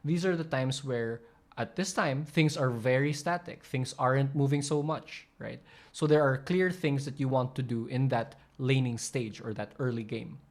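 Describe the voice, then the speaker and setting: A man's voice: mid-range voice, normal speed. A man hosting, talking casually over a video clip, rambling with frequent fillers and restarts as he explains. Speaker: channel host explaining coaching.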